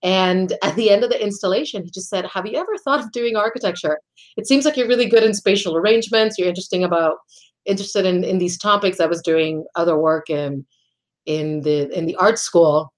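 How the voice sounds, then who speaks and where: A middle-aged woman from Puerto Rico speaks in a fairly low voice, answering questions with thought and care. She often restarts her phrases and laughs lightly between ideas. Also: brisk. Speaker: guest architect. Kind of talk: conversational interview answers.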